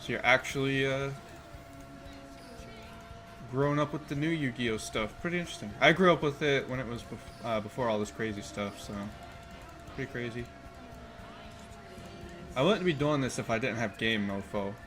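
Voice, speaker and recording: A man in his twenties giving casual gameplay commentary from a room, thinking out loud and swearing in quick bursts of excitement. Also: medium pitch, faint music behind. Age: 20s. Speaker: streamer playing a card game. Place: room indoors.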